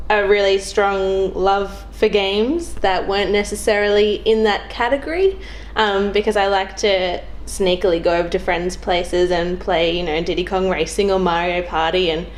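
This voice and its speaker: A young woman talks casually as a guest on a podcast. Her delivery is informal and a little hesitant, as if thinking aloud. The pitch is average for a woman, and there is background noise in the recording. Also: low-quality recording. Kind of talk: casual chat.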